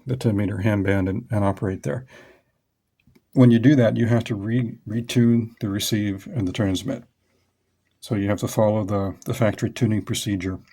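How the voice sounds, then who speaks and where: Low-voiced man explaining his hobby. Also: a little mellow. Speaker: radio hobbyist presenter. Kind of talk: casual explanatory demonstration.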